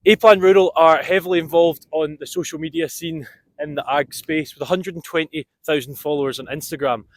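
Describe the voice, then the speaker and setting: A man with a slightly raised voice reading a sponsor thank-you from a script. He keeps an even, measured delivery throughout. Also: a little high. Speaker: podcast host reading a sponsor message. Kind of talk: read sponsor message.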